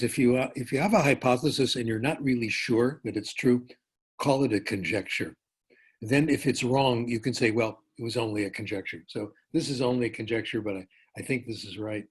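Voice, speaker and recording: A husky-voiced older man speaks at a middle pitch, giving a conference lecture. He tells relaxed anecdotes, often breaking off for asides and correcting himself as he goes. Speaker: professor giving a conference talk. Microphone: remote talk over a conference call.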